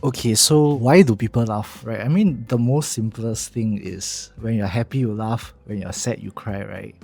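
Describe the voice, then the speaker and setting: A man's voice: on the low side, normal speed, gravelly, dark tone, soft background music. A young man with a heavy Singaporean accent, chatting casually and self-mockingly in a travel vlog about trying stand-up. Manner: chatty, self-mocking, swears when nervous. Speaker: vlogger, first-time stand-up comic.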